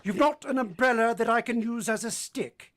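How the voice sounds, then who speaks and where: An actor playing an imperious, blunt middle-aged English master of the house in a vintage-style radio drama. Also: male voice, extremely high pitch, normal speed, fairly bright tone. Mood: brusque, inquisitive.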